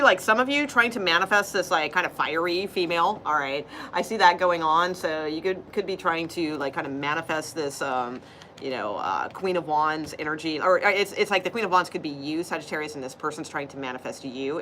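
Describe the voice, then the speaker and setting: A woman's voice: low voice, normal speed, pushed and bright, slight background noise. Chatty woman reading tarot cards. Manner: rambling, chatty, laughs often, frequent fillers. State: losing her voice. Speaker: tarot reader. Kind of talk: casual live tarot reading.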